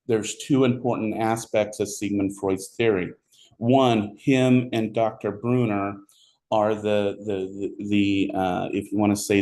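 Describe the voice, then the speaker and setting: A man giving a psychology lecture, rambling with many fillers and restarts, his voice squeaky today. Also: darkish tone, a little hiss or hum. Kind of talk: classroom lecture. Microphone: recorded for online video.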